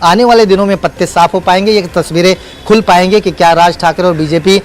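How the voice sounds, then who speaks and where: High-pitched male reporter over background noise. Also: fairly even intonation, a little fast. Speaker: field news reporter. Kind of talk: live unscripted news report.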